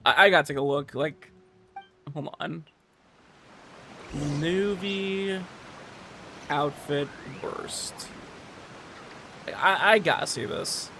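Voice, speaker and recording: A man's voice: a little high, slow, pauses often, sharp tone, a little hiss or hum. A man livestreaming a game, chatting casually in a slangy, rambling way. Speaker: gaming livestreamer. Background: video game dialogue and audio.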